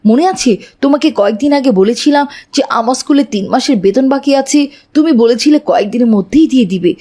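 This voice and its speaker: A woman's voice: high voice, quick pace, warm tone. A woman playing an earnest, dutiful young schoolboy in a moral cartoon, explaining things plaintively. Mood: dejected. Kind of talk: Islamic moral cartoon dialogue.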